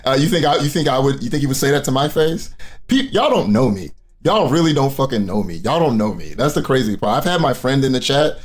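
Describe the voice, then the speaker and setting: A man's voice: expressive, fast. A man doing casual, profane reaction commentary, blunt and mocking, often repeating himself for emphasis. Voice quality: deep voice. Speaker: commentary YouTuber reacting to clips.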